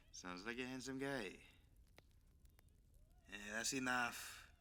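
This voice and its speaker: Theatrical Spanish-accented masked swashbuckler, bright-voiced. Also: male voice, medium pitch, very slow, a little hiss or hum, heavily acted. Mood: smug, sly. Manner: theatrical, bantering. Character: swashbuckling masked Spanish vigilante, cocky, flirtatious, easily flustered man. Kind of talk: ASMR roleplay audio drama.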